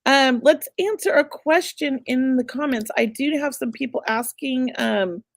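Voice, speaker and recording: A woman hosting a live psychic reading, chatty and reassuring, going a bit hoarse as she loses her voice. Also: high voice, measured pace, no echo. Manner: chatty, reassuring, many filler words. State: losing her voice. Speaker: psychic medium host.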